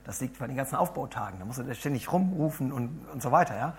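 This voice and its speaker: A man with a hoarse voice preaches to a gathered group, talking in a chatty way and turning to them with questions. His delivery jumps between loud, emphatic bursts and quiet, murmured asides. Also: medium pitch, lively intonation, quick pace. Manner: chatty, asks the audience questions. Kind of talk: lively sermon to a congregation.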